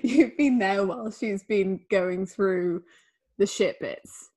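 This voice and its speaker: A young woman co-hosting a podcast in casual chat, talking freely with quick asides into a studio microphone. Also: medium pitch, warm tone, husky. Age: young adult. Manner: chatty, laughs easily, quick asides. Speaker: podcast co-host.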